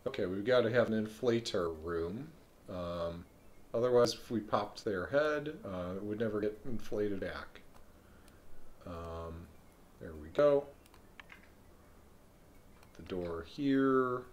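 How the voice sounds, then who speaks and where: A man streaming a video game live, thinking aloud in a relaxed way with frequent ums. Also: on the low side, slow, pauses often, slight background noise. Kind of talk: live let's-play commentary.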